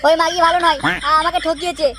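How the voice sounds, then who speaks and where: A brash young cartoon man acted out by a voice performer, pitched very high and talking fast. He is hot-headed and confrontational, forever complaining, over a music bed. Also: little pitch movement. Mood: furious. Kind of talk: comedy cartoon dialogue in colloquial Bengali. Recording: music behind the voice.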